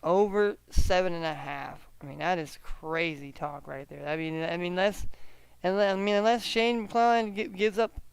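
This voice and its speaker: A man hosting a casual solo sports betting chat, often halting and repeating himself as he talks through his picks. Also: sits high, vocal fry, clear, resonant tone, no room sound. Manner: halting, repeats words, hedging gut calls. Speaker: sports betting show host.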